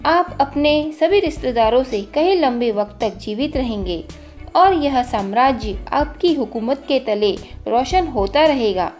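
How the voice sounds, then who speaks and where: A woman's voice: high-pitched, lively intonation, a little slow, a little mellow, soft background music. A woman voicing a clever, courteous royal courtier, a man who advises with formal deference, in a storybook tale. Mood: respectful, reassuring. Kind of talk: storybook character dialogue.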